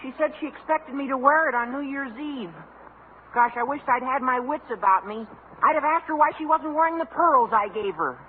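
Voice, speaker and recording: A man playing an earnest, mock-pompous teenage boy with a squeaky voice in a 1950s radio sitcom. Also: normal speed, narrow, tinny audio. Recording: thin 1950 radio broadcast with hiss.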